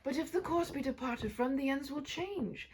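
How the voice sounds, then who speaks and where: A woman voicing a solemn old miser from a storybook, trembling and pleading in a halting, breathy way. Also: high-pitched, darkish tone, recorded from a distance, a little hiss or hum, voice pushed up, close to an everyday voice.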